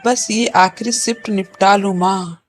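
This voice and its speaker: A man's voice: high voice, fairly even intonation, measured pace, faint music behind. A man voicing a dutiful, anxious young delivery rider in a cartoon horror story. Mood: reassuring, dutiful.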